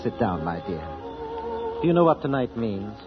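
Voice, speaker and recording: An actor playing a grave, measured singing teacher in love, in 1940s radio drama style. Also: male voice, lowish voice, sing-song. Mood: tender, solemn. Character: suave, solemn older singing teacher in love. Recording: dull vintage radio recording with music.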